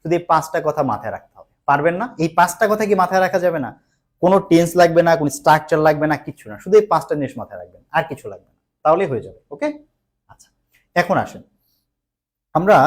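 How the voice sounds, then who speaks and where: A man's voice: on the high side, expressive, quick pace, pauses often. A man teaching an online English grammar class for exam preparation. He explains patiently, repeats key points and puts questions to his students. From Bangladesh.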